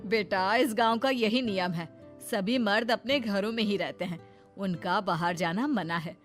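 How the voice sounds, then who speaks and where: A woman's voice: medium pitch, unhurried, over music, close to an everyday voice. A woman playing a firm village aunt who explains things in an instructive way in a cartoon story. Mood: firm, explaining. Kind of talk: Hindi cartoon story acting.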